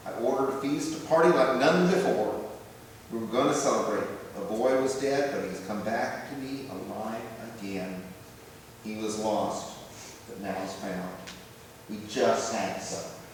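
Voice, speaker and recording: A man's voice: on the low side, measured pace, close to an everyday voice. Man acting a tender father, very echoey hall. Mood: joyful. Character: tender, emotional loving father of grown sons. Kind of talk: church readers theater monologue. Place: large church room, live before congregation. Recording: very echoey church hall, distant, faint noise.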